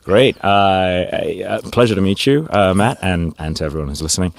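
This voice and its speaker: Man, very low voice, chatty and rambling, middle-aged, from Canada. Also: lively intonation, fairly quick, creaky. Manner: talkative, rambling with restarts. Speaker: guest, technology executive. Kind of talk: conversational interview storytelling.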